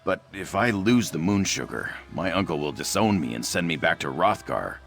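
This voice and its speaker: A man voicing an earnest, shy young half-orc, half-cat-folk in a video game, with a gravelly voice and polite manner. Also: low voice, expressive, normal speed, a bit bright, soft background music, exaggerated voice. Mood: grateful, worried. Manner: earnest, self-effacing, polite.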